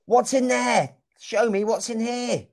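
A young man from England, teaching a young child over a video call in slow, clear, encouraging speech full of exclamations. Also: extremely high pitch. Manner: slow, clear and lively, often exclaims and laughs. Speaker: online English teacher. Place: small room indoors. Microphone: video call with wired earphone mic.

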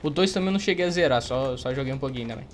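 A man's voice: medium pitch, racing. Young Brazilian man gaming over noisy ambience. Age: young adult. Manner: slangy, joking, rambling. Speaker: gaming streamer. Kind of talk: casual gameplay commentary. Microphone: close desk microphone. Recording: noisy, game ambience and typing. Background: game ambience, keyboard typing.

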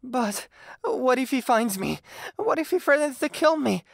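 A man acts out a frightened young cartoon man with a high, creaky voice. He stammers and pleads, swinging wildly in pitch, recorded dry. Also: expressive, unhurried, no echo, full character voice. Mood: panicked. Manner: stammering, panicky. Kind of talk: dramatic comic dub acting.

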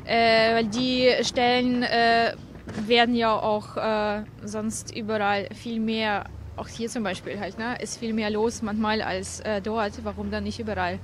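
Fairly high-voiced young woman outdoors. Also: flat delivery, a little slow. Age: young adult. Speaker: interviewed passer-by. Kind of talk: casual chat, colloquial. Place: busy city street outdoors. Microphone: interviewer's handheld microphone. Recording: street traffic noise behind.

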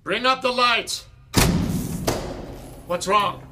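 A man's voice: high voice, drawn-out words, over loud music. A man acting in a radio drama as a stern, exasperated theatre director, commanding and sarcastic. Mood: impatient. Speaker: actor.

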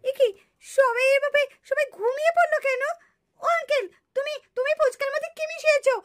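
A young doll heroine for a puppet show. The voice is tiny and extremely high, with an emphatic, plaintive manner and a childlike indignant edge. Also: female voice, fairly even intonation, a little fast. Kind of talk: puppet cartoon acting.

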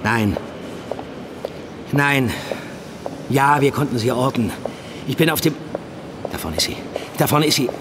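An actor playing a police handler in a naturalistic audio drama, reassuring yet insistent, often dropping into hushed tones. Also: male voice, mid-range voice, unhurried, long pauses, close to an everyday voice. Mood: urgent. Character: male police handler calming and pressuring his undercover agent. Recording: noisy outdoor ambience with vehicle sounds.

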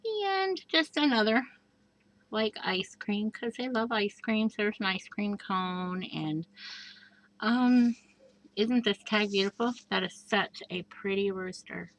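A woman casually showing a handmade journal page by page, chatty and gushing, with soft asides and plenty of fillers. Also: mid-range voice, takes it slowly, no room sound, low-quality recording. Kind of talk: casual show-and-tell craft walkthrough. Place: indoors at a craft table. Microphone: overhead camera above a craft table.

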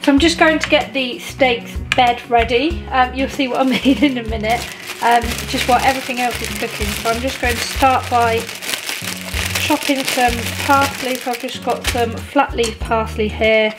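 A woman, talking viewers through a recipe in her home kitchen in a relaxed, chatty way. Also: a little high, moderate pace, music in the background. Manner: chatty, relaxed, frequent "um" and "honestly". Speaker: cooking vlogger. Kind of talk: cook-along voice-over and chat to camera. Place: home kitchen and living room.

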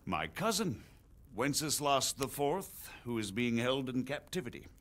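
A grave, persuasive nobleman lays out the politics of the kingdom in a formal, earnest manner. His intonation rises and falls in a lively way at a middling pitch, as in a historical video game cutscene. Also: unhurried. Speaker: visiting margrave explaining politics.

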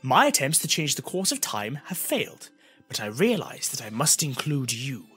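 Man acting a grave, formal fantasy seer, forceful. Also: medium pitch, big pitch swings, normal speed, slightly rough, faint music behind, voice pushed up, full character voice. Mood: urgent, grave. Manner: formal, solemn, emphatic. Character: grave, foreboding male time-travelling seer.

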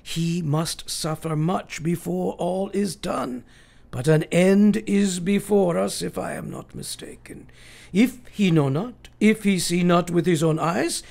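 An actor playing a grave, eccentric older professor in a radio drama, with solemn, formal and quaintly phrased speech. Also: male voice, sits high, vocal fry, darkish tone. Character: eccentric, grave, older male foreign professor and vampire hunter.

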